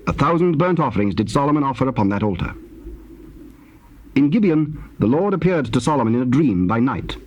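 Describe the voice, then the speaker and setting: A man's voice: mid-range voice, big pitch swings, a little fast, darkish tone, muffled recording. A man narrating scripture as a formal storyteller, in a solemn scripted reading.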